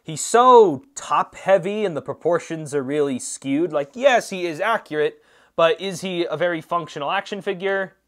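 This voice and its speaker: Male reviewer, slightly high voice, casual and conversational, stressing points as he walks through a product. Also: normal speed. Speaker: host of an action figure review channel.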